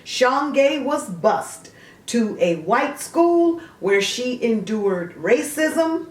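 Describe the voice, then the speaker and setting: Female literary host, theatrical and emphatic, unhurried delivery, recorded roomy and a little far from the mic. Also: a little high, big pitch swings. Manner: emphatic, deliberate, broken into short measured phrases. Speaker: host of a literary video show. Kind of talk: dramatic, theatrical vlog monologue, partly reading aloud. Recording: roomy echo, distant mic, faint noise.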